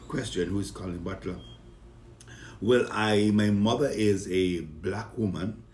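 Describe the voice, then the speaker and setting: A man's voice: low-pitched, darkish tone, roomy sound, a little hiss or hum. A man with a Vincentian accent, a comedian introducing himself to his fans in a jovial, rambling way. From Saint Vincent and the Grenadines and the British Virgin Islands. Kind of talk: casual self-introduction to fans.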